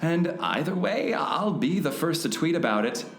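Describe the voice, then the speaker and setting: A man's voice: on the high side, sing-song, normal speed. An actor playing a gloating, smug villain mastermind in a parody radio drama, sardonic and taunting as he draws out his words. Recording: roomy echo, faint music underneath.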